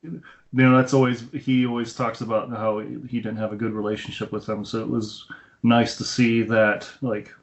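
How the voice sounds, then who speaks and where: A man's voice: mid-range voice, level tone, moderate pace. A man co-hosting a casual film review podcast, telling rambling anecdotes over an internet call. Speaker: podcast co-host. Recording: dull lo-fi internet call audio.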